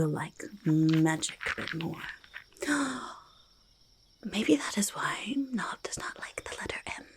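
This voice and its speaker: Woman doing a curious lil goblin for asmr roleplay, gentle n easily delighted, crackly breathy voice, swoopy pitch, talks in third person. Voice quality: breathy, creaky whisper. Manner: refers to self in third person, repeats phrases softly. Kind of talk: ASMR roleplay, whispered and soft-spoken.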